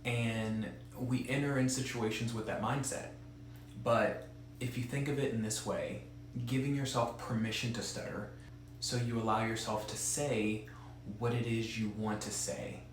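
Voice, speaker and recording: A man's voice: mid-range voice, fairly even intonation, unhurried, heavy reverb, slight background noise. A man who stutters gives an earnest, direct instructional talk as a presenter for an advocacy group.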